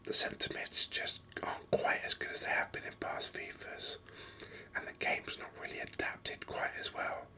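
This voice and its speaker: A gaming video host speaking in a breathy whisper, giving unhurried, rambling ASMR commentary with enthusiasm. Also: pushed and bright.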